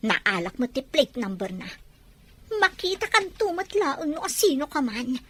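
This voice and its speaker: A woman's voice: high-pitched, big pitch swings, normal speed. A woman playing a young village fish seller, a dutiful and plain-spoken daughter in a radio drama. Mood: indignant.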